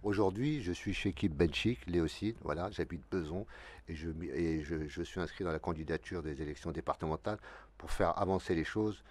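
A man's voice: deep voice, a little fast. A man in his fifties, a local election candidate, talking through a televised studio debate in a rambling way with many restarts. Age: 50s. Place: dark TV studio, seated at a desk. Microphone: desk mic.

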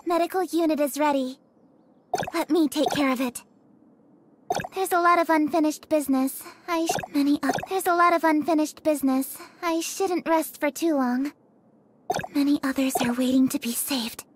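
An actress voicing a gentle, earnest young nurse in a video game, with soft, polite and melancholy delivery. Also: female voice, high voice, normal speed, noisy background, natural voice. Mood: earnest, resolved. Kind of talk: video game character voice lines.

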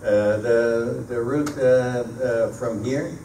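A middle-aged man from the Netherlands with a Dutch accent and native Dutch, answering audience questions at a talk, often pausing mid-sentence. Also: medium pitch, steady tone. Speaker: guest speaker, sailor. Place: meeting room at a sailing club. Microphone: handheld PA microphone, recorded by a camera across the room. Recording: echoey room, distant camera microphone, noisy.

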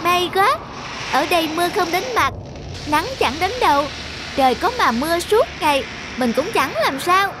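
A high-voiced cartoon little weed. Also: female voice, expressive, moderate pace, background noise. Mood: smug. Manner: exaggerated, boastful. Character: smug, self-satisfied little weed hiding from trouble. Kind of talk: cartoon folk-tale acting.

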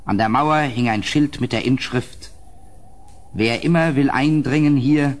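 A man playing an anxious, well-mannered houseguest in a gothic horror radio play, with a grave, literary delivery and a husky voice. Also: mid-range voice, unhurried, close to an everyday voice. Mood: dramatic reading.

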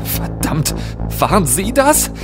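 A man's voice: high voice, very animated, exaggerated voice. An actor playing an agitated, defiant man trapped in a haunted wood in a horror audio drama. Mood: defiant alarm. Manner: agitated, halting. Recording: loud music under the voice.

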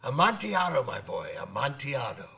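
A man's voice: medium pitch, big pitch swings, brisk, creaky, sharp tone, full character voice. An actor in a radio drama playing a hearty old one-eyed sailor who spins boastful yarns in folksy nautical talk, repeating his phrases. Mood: sly, hearty. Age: older.

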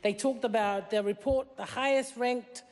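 Tongan-first-language woman giving a parliament speech, fairly high voice, lots of ums, long echo from a big hall. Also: fairly even intonation, normal speed, a little mellow. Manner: earnest, many fillers, rising emphasis on key points. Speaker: member of parliament. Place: large wood-panelled debating chamber. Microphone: desk microphone. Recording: long chamber reverb.

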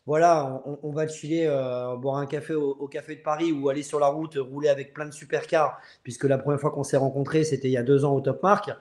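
Casting: male host, 30s, fairly high voice, earnest and halting, casual live chat from a very roomy-sounding space. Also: flat delivery, a little mellow. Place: room at home. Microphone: earphone mic on video call. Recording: roomy, echoing video-call audio.

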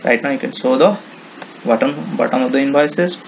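Indian-accented man with a creaky voice. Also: mid-range voice, fairly quick, slight background noise, rough recording. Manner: repetitive step-by-step explaining in simple phrases. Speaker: software tutorial presenter. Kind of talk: informal screen-recording walkthrough.

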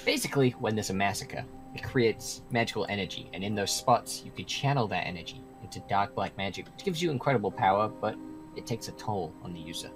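Man playing a lively, matter-of-fact immortal guide. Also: lowish voice, brisk, faint music behind, low-quality recording. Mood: serious, explaining. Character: long-lived supernatural man, knowledgeable, serious guide. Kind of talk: improvised Minecraft roleplay.

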